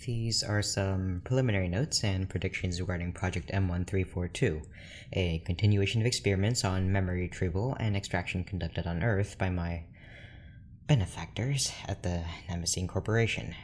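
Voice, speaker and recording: A man playing a reserved, formal research doctor in an audio drama, speaking in a measured, dry manner. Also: low-pitched, natural voice. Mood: measured, clinical. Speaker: scientist character in an audio drama. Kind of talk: scripted audio drama monologue and dialogue. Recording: clear background noise with ambience.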